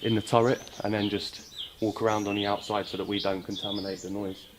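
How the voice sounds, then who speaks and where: A man's voice: on the low side, little pitch movement, a little fast. A man hosting a paranormal investigation, talking casually as he explores and calls out questions. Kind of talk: casual on-location ghost-hunt commentary, calling out questions. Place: outdoors in a rural spot. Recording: noisy outdoor location sound. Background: birds and outdoor ambience.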